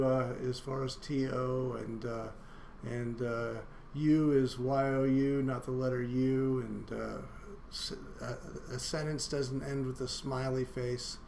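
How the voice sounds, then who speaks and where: A male college instructor talks through his syllabus in a flat, monotone voice that barely rises or falls. He stumbles along with frequent fillers and restarts. The room rings with echo and carries a faint hum of ambience. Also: mid-range voice, gravelly. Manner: halting, many "uh" fillers and restarts. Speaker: university instructor. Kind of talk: recorded online course syllabus walkthrough.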